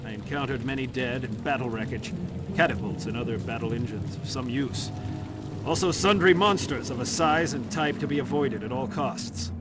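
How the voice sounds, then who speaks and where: An actor playing a resolute fantasy adventurer, delivering a formal, earnest report in a dramatic game cutscene. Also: male voice, medium pitch, normal speed, over loud music, close to an everyday voice. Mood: grave.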